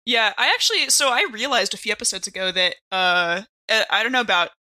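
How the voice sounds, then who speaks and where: A woman's voice: medium pitch, lively intonation, brisk, pushed and bright, dry recording. A woman chatting casually as a player on a tabletop game podcast. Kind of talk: casual chat.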